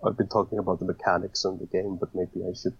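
Low husky man, halting and hesitant. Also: level tone, no echo, muffled recording. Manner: hesitant, many fillers and restarts. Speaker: podcast guest. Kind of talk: casual interview talk.

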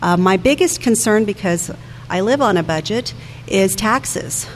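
A woman's voice: medium pitch, lively intonation, unhurried. Woman, forties, local candidate giving direct, earnest remarks, reverberant hall with faint noise behind. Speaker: city council candidate. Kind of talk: prepared campaign remarks at a public forum. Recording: echoey hall with background noise.

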